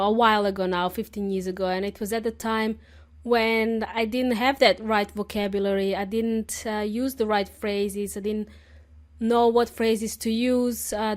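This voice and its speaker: A woman hosting an English-learning podcast, talking chattily and laughing often, her voice hoarse from a cold. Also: fairly high pitch. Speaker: podcast host.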